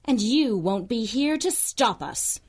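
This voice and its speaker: A woman's voice: on the high side, expressive, slow, darkish tone, husky, exaggerated voice. A woman playing a ruthless, imperious supervillain in a pulp radio drama, menacing, theatrical and scornful. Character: ruthless, imperious female supervillain crime lord plotting revenge.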